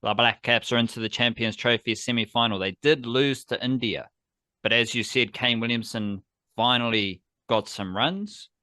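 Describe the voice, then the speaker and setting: A man's voice: mid-range voice, fairly even intonation, moderate pace, pushed and bright, no room sound, clean studio sound. A man hosting a casual sports podcast, rambling enthusiastically and correcting himself as he goes. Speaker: podcast host.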